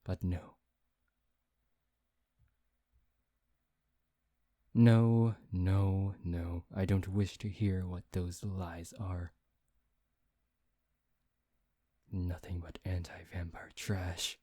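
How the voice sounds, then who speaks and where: A man playing a proud, dismissive vampire in an ASMR roleplay, speaking softly and haltingly as he searches for words. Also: flat delivery. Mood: scornful. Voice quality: low, dark, soft voice. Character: proud, dismissive male vampire lecturing on his history.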